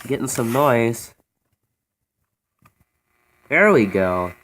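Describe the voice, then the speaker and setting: A man's voice: mid-range voice, big pitch swings, drawn-out words, a bit bright. An American man giving a casual, unscripted, offhand demonstration as a hobbyist, recorded on a handheld phone. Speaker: radio hobbyist demonstrating a vintage radio. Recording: noisy phone video with clicks.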